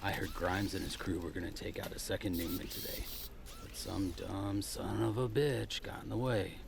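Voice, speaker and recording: A gruff old-school cop in a noir audio drama. The voice is very low, with heavy fry and gravel. He sounds world-weary but loyal. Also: fairly even intonation, moderate pace, very noisy. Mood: casual, rueful.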